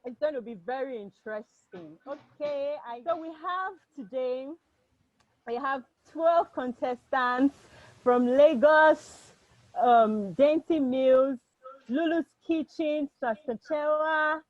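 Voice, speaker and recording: A woman's voice: sits high, slow, no echo. Chatty Nigerian woman hosting a video call. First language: Yoruba. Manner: chatty, with restarts and laughs. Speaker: host. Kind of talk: hosting an online cooking contest. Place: home. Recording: dull, dry home video call audio.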